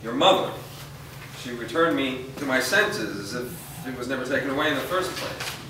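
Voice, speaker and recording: A man's voice: mid-range voice, lively intonation, moderate pace, close to an everyday voice. A man playing a weary, remorseful aging father in a staged reading of a drama. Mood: resigned, apologetic. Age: older. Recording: echoey room, distant mic, faint noise.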